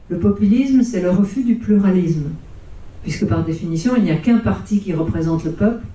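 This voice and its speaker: An older woman explains her points as a lecturer on stage. Her tone is very soft-edged and dark. The sound is roomy and a little distant. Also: lowish voice. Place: conference hall, on stage. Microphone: handheld microphone.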